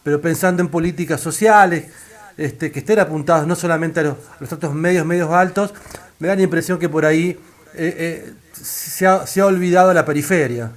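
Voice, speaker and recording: A man's voice: a little high, fairly quick. A man from Argentina, hosting a radio interview and talking with many fillers and restarts. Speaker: radio host.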